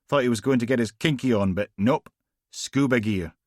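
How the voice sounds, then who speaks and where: A gruff, bossy woman detective, sarcastic and teasing, voiced by a man reading aloud, pitched well above his own low register. Her lines come loud and punchy in a dry, close sound. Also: normal speed, no echo, lightly acted.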